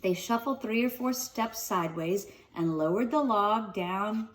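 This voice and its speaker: A woman narrates a children's book in an expressive storytelling style at a medium pitch. She slows for dramatic phrases and sits a little way from the mic. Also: distant mic. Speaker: storybook reader. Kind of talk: children's read-aloud narration with casual asides. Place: sunroom at home.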